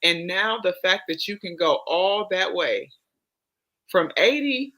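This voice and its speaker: A woman's voice: on the low side, lively intonation, slow, fairly bright tone. A woman with an American accent speaking as a guest author in a casual interview, earnest and emphatic, repeating phrases. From United States.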